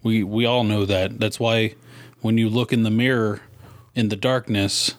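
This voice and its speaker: A man's voice: on the low side, little pitch movement, unhurried, dry recording. A man co-hosting a podcast in casual chat, laid-back and chipping in with short remarks. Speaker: podcast co-host.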